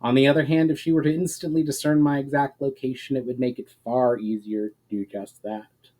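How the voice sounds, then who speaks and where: A man acts out a male elf spell-weaver in a fantasy storybook. He is calm and courteous, with formal, measured phrasing. He explains things patiently and admonishes gently. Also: mid-range voice, close to an everyday voice, rough recording. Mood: grave.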